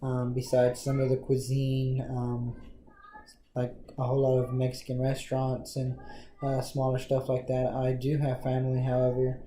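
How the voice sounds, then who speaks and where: A man's voice: mid-range voice, completely flat delivery, moderate pace, warm tone. A young man from the Panhandle, answering interview questions with many ums and uhs. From Texas Panhandle, USA. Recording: echoey room, faint ambient noise.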